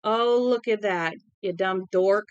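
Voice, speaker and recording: A woman's voice: medium pitch, steady tone, normal speed, no echo, dull audio, close to an everyday voice. A woman voicing a small, drunk, volatile young Southern man in a novel, crude, profane and threatening. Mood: menacing outrage.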